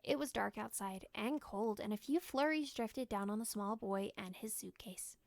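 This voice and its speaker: A woman reading a story aloud in expressive narration, with a gentle, deliberate storytelling manner. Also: medium pitch, creaky, no room sound. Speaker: storybook reader.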